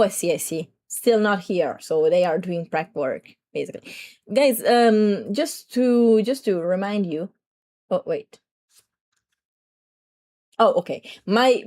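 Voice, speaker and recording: Young woman streamer, bit raspy today, rambles on and on chatting w chat. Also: medium pitch, darkish tone. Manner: rambling, chatty, greets viewers. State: slightly raspy throat. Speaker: VTuber streamer. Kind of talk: casual livestream chat.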